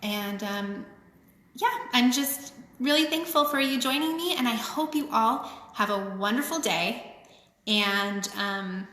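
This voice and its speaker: A woman reading a picture book aloud to children, with expressive, simple phrasing and chatty asides. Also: a little high, slow. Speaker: children's author reading her own book. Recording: echoey, reverberant room.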